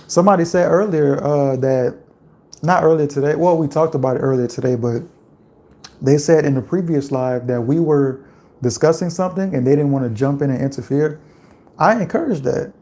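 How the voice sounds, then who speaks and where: A man from Louisiana with a Louisiana accent, chatting casually on a livestream and reading out viewer comments in a relaxed, rambling way. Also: fairly high pitch, normal speed. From Louisiana, USA. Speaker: livestream host.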